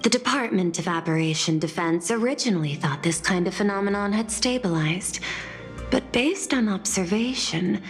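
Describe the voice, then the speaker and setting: An actress voicing a poised, solemn noblewoman in a video game, speaking formally and articulately with courteous, measured phrasing. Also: medium pitch, lightly acted.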